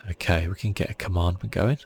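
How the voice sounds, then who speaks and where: A man giving soft-spoken ASMR commentary on a video game in a murmured, laid-back way, as if thinking aloud. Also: low-pitched, fast. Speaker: gaming channel host. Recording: faint music behind.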